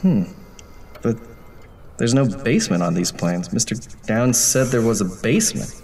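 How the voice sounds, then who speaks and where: An actor playing an anxious, overworked architect in an audio horror drama, muttering to himself in short halting phrases. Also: male voice, mid-range voice, very animated, darkish tone, a little hiss or hum. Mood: absorbed, muttering.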